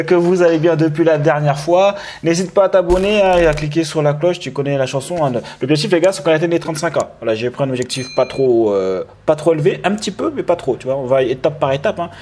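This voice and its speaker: A man in his thirties hosts a live online interview from a room at home. He talks loosely and warmly to his viewers, full of fillers and restarts. The sound comes through a webcam on a video call. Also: a little high, slight background noise. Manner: relaxed, chatty, many fillers and restarts. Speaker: interview host. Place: indoor room with lamp and wall art.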